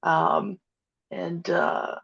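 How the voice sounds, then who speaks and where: Gravelly-voiced woman, dull lo-fi sound. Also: fairly low pitch, level tone, extremely slow, fairly bright tone. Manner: easygoing, many fillers and restarts, giggly. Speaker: podcast guest, audio drama creator. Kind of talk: casual interview chat.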